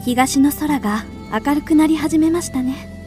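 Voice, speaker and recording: A woman's voice: sits high, measured pace, dark tone, faint music behind. A woman playing a beautiful, demure young ghost in a radio drama, speaking in a polite, delicate, formal way. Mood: gentle.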